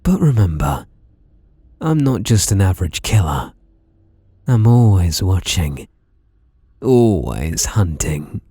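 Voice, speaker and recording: Guy doing a creepy british slasher killer for asmr, dark husky voice, toying and sweet-talking, really close and dry. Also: low voice, sing-song, no room sound, heavily acted. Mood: gloating, possessive. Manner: slow, menacing, theatrical, mock-tender murmuring with whispered words. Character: sadistic, teasing, obsessive male masked slasher killer. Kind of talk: ASMR roleplay.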